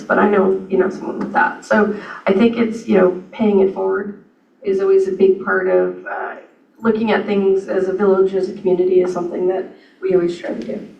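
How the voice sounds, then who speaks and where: Woman on a panel, gravelly voice, rambly, echoey far mic. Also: mid-range voice. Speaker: panelist and employer. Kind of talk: panel discussion. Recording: dull echoey room mic from a distance.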